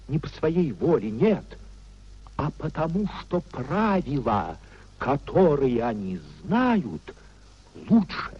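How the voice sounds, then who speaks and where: Tormented, high-minded husband in vintage radio theatre: mellow, slightly husky, declamatory, repeats himself in pleading. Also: male voice, heavily acted. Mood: impassioned oratory. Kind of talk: 1950s Russian radio theatre acting. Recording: muffled 1950s radio play recording.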